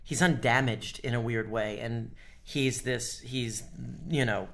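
Wry, quick-talking man explaining. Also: mid-range voice, fairly even intonation, fairly bright tone. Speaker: series co-creator. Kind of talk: casual interview.

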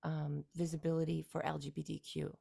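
A woman from Canada in her forties, a musician guest talking through her career in a relaxed, rambling way with fillers and restarts. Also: low voice, a little fast, creaky, husky. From Canada, near Toronto. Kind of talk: casual interview conversation.

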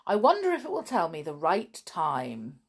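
A woman's voice: fairly low pitch, wildly swooping pitch, moderate pace, breathy edge, voice pushed down, full character voice. A woman voicing a kindly, earnest young schoolboy in a children's storybook, full of wonder.

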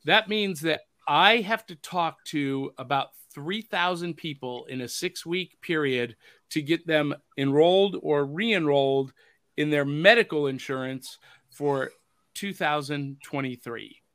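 A man's voice: fairly high pitch, measured pace, fairly bright tone, no room sound. A man hosting a pop culture podcast, upbeat and jokey in casual chat. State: hoarse from overuse. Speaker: podcast host.

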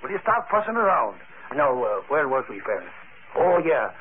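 An actor playing a blustery, impatient, folksy newspaper reporter in 1940s radio style. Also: male voice, medium pitch, sing-song, normal speed, natural voice. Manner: folksy, ungrammatical, exclamatory. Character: blustery, impatient male newspaper reporter, thick-headed tough guy. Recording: tinny old radio broadcast, faint noise.

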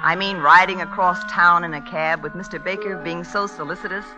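An actress playing a sharp-witted, exasperated young woman writer in a 1940s radio comedy, with a wry, dramatic delivery. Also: fairly low pitch, fairly even intonation, fairly quick, muffled recording, over music, close to an everyday voice. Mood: wry, narrating.

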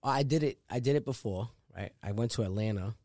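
Laid-back rapper guest, dry sound. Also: male voice, on the low side, a little fast, no echo. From Rhode Island. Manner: relaxed, rambling, peppered with "you know what I'm saying". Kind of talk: casual interview talk.

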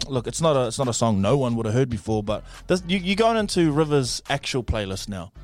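A man's voice: medium pitch, lively intonation, fairly quick, faint music behind. A man co-hosting a morning show, playful and teasing in casual chat. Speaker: co-host.

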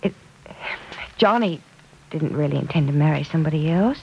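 A woman playing a caring, protective guardian, gentle and earnest with a teasing side, in 1940s radio serial acting. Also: deep voice, animated, normal speed, creaky, slightly rough, heavily acted. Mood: earnest, concerned. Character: Penny Gibbs, caring, protective woman guardian wary of young love. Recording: dull old radio recording.

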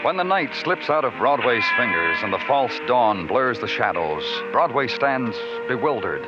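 An actor playing a terse, brooding big-city police detective in a 1950s radio crime drama, clipped and deadpan. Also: male voice, medium pitch, moderate pace, close to an everyday voice. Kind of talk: 1950s radio crime drama, hard-boiled narration and dialogue. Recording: dull old radio recording with music.

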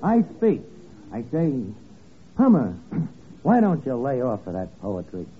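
A man's voice: medium pitch, wildly swooping pitch, slow. An actor playing a bossy, slangy, scheming tavern man in old radio comedy, wisecracking and mangling his grammar. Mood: bossy, self-important. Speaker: comic lead of a radio sitcom. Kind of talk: 1940s-50s radio comedy acting. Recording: scratchy, noisy, narrow-band old radio recording.

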